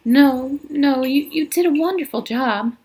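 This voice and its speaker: A woman voicing a young schoolteacher in a storybook reading, speaking high and strained. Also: measured pace, a little mellow, a little hiss or hum. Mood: flustered. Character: young schoolteacher woman, lovesick then desperate.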